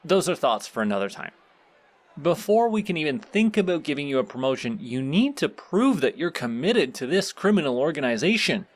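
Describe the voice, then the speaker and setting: A man's voice: a little high, big pitch swings. Man playing a courteous, formal supervillain boss. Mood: intrigued, measured. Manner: polite, formal, measured, instructive. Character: courteous, surprisingly pleasant male supervillain boss. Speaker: voice actor in an audio roleplay. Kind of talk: audio roleplay acting, speaking to the listener.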